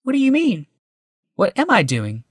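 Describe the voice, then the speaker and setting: A man's voice: sits high, huge pitch swings, moderate pace, slightly rough, no room sound, clean studio sound, pitched above the performer's own voice, exaggerated voice. A man voicing a passionate, idealistic woman in an audiobook, speaking with urgent emphasis and yearning intensity. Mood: urgent, pleading.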